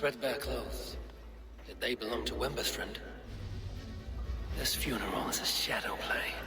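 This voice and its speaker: An actor playing a stern, probing warrior investigating a murder in a video game. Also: male voice, medium pitch, unhurried, over loud music, lightly acted. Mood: stern, accusing. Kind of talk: video game dramatic acting.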